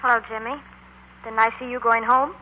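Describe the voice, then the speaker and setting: A woman's voice: a little high, steady tone, fairly quick, slight background noise, natural voice. A young woman acting an earnest, proud teenage schoolgirl in a 1940s radio comedy-drama. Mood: hurt, restrained. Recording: dull, lo-fi 1940s radio recording.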